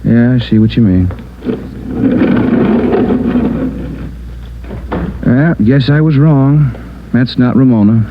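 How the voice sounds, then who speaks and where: An American actor playing a genial, eager, slightly muddled morgue attendant with a folksy, questioning manner in an old radio drama. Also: male voice, medium pitch, normal speed, close to an everyday voice. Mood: conceding. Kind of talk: 1940s-50s radio drama acting. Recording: muffled old radio broadcast, faint hiss.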